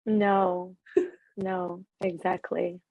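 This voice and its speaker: A woman from Ecuador, a podcast guest, talking in a reflective, animated way about her life. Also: fairly low pitch, extremely slow, dry recording, narrow, tinny audio. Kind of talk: casual conversation.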